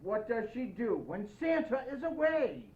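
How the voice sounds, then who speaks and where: Female storybook reader in a Santa persona, high voice, playful and expressive, slightly dull lo-fi sound. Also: a little slow. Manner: playful, expressive, addressing young listeners. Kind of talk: children's picture-book read-aloud with playful asides.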